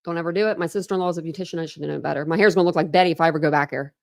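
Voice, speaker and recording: A woman's voice: low-pitched, fairly even intonation, very fast, gravelly. A woman hosting a true crime livestream in casual, rambling commentary, often breaking off and restarting. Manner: rambling, chatty, self-interrupting with laughs. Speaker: true crime channel host.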